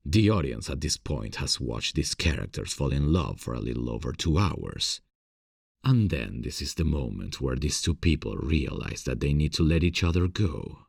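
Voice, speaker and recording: Very deep, gravelly male theater director, acted by a man. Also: sing-song, moderate pace, no echo, clean studio sound, full character voice. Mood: thoughtful coaching. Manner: gentle, encouraging, soft chuckles. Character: warm encouraging male theater director, secretly smitten with his actress. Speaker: voice actor. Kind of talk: scripted ASMR audio roleplay.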